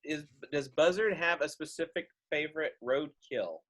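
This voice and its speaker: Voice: mid-range voice, moderate pace, a bit bright. A host chatting casually on a group video call, reading out questions and laughing often. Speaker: host of a cast question session.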